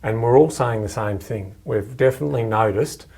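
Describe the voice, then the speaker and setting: A man's voice: medium pitch, normal speed, vocal fry, a little mellow, husky. An Australian man hosting a podcast, chatting casually and informally with a guest about economics. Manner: informal, colloquial. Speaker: podcast host.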